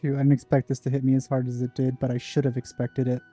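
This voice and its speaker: Very dark-voiced, subdued male gamer. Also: mid-range voice, completely flat delivery, quick pace, soft background music. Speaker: gaming video host. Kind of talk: casual game playthrough commentary.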